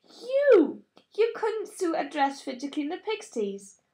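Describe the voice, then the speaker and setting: A woman's voice: very high voice, very animated, slow, dark tone, pitched above the performer's own voice, heavily acted. A woman voicing a dramatic, petulant young noble girl, haughty and pleading, in an audiobook story. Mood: disdainful.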